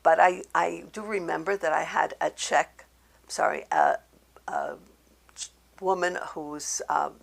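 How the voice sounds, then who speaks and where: Woman in her eighties from Slovakia, German mother tongue, very gravelly, husky voice, giving measured oral history testimony with many pauses. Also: low voice, expressive. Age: 80s. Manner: halting, deliberate, measured. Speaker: Holocaust survivor giving testimony.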